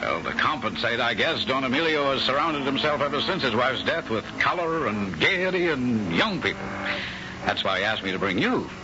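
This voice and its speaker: A man's voice: mid-range voice, big pitch swings, moderate pace, lightly acted. An actor playing a casual young American man who talks evasively and keeps trailing off, in a 1970s radio drama. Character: breezy young American man, guest's escort. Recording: dull 1970s radio recording with loud car noise.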